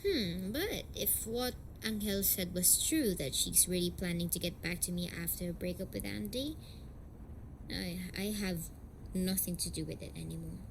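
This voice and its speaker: A woman's voice: fairly low pitch, vocal fry. Woman acting a defensive young delinquent girlfriend, noisy recording. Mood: flustered, dismissive. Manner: hesitant, halting, foul-mouthed, defensive. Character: young tsundere delinquent girlfriend, defensive, blunt, secretly hurting. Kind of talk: amateur romantic audio roleplay acting.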